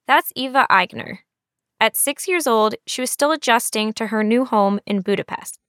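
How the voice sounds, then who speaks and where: Reporter, woman, a touch high, dry close sound, steady documentary narration. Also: moderate pace, dry recording.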